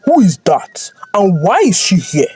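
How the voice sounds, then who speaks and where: A woman's voice: lowish voice, big pitch swings, unhurried, mellow, breathy edge, faint music behind, exaggerated voice. A woman voicing a firm, insistent young man in love in a storybook tale. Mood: angry disbelief.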